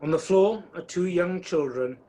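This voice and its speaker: High-voiced male radio play narrator. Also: moderate pace, dark tone. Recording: faint background noise, home video-call recording.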